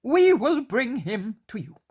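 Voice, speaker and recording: Judean man faking pity, smooth and slow, read by a woman. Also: fairly high pitch, sing-song, darkish tone, full character voice. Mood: feigned. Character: falsely pitying male Judean.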